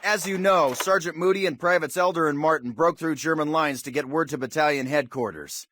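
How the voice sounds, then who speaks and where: An actor playing a confident American army officer, giving an authoritative, orderly briefing to paratroopers in a video game. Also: male voice, on the high side, brisk, fairly bright tone, no room sound, clean studio sound, lightly acted. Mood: proud, dry. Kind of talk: military mission briefing, scripted game acting.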